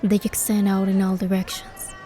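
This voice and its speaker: A woman voicing a bold, curious young heroine, with expressive storytelling delivery in a fantasy game. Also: mid-range voice, normal speed, noisy background, close to an everyday voice. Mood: narrating, hushed wonder. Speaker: fan dub voice actor and narrator. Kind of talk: scripted first-person fantasy game narration and dialogue.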